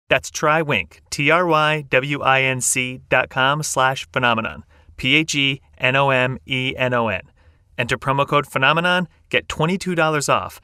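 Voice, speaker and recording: A man delivers an upbeat scripted podcast ad. His tone is bright and crisp-edged at a medium pitch. The sound is close and dry, like a studio microphone. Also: dry recording. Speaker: podcast host reading a sponsor message. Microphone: close studio mic, dry.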